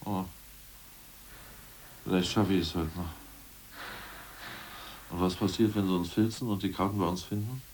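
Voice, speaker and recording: A man's voice: sits low, steady tone, normal speed, long pauses, recorded from a distance, slight background noise, natural voice, low-quality recording. A man playing a scheming ousted party boss. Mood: conspiratorial. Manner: boastful, plotting, swings from muttering to loud outbursts. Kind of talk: 1980s radio play acting.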